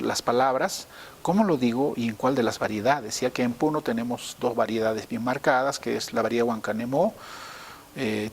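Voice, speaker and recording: A man giving an explanatory interview in a didactic way, rephrasing his points step by step. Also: mid-range voice. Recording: noticeable hiss behind the voice.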